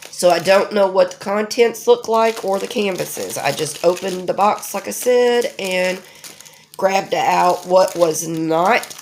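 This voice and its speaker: A woman's voice: medium pitch, slight background noise. A woman, stuffy and nasal from a head cold, chatting casually as the host of a crafting unboxing vlog. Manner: chatty, homey, with many ums.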